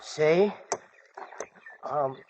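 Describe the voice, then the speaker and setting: An actor playing a polite, eager teenage runaway with an American accent and a folksy, hesitant way of talking, in a radio western. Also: male voice, fairly high pitch, drawn-out words, background noise. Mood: shy, hopeful. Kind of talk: 1950s radio drama acting. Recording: dull vintage 1950s radio recording.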